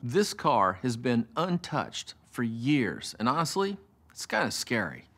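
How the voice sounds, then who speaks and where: A man's voice: medium pitch, big pitch swings, unhurried, a bit bright, breathy edge. A middle-aged man giving casual commentary as a car detailer.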